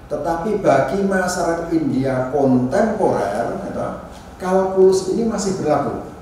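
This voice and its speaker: A man from Indonesia gives an informal university lecture, explaining deliberately and often adding small check-in tags. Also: on the high side, expressive, warm tone. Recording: echoey room, distant mic, noisy.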